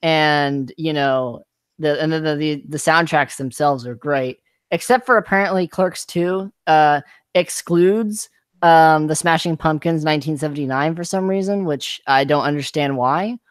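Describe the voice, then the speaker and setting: A man's voice: fairly high pitch, measured pace, clean tone, no room sound, studio quality. A young man co-hosting a casual podcast chat, stammering and repeating words, chuckling often. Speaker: podcast co-host.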